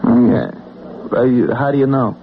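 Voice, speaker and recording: An actor with an American accent playing a humble, bewildered cab driver in an old-time radio drama, halting, plain-spoken and doubtful. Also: male voice, medium pitch, expressive, noisy background, close to an everyday voice. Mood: puzzled, placating. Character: humble, bewildered working-class cab driver, a wary man. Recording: tinny old radio broadcast, faint music.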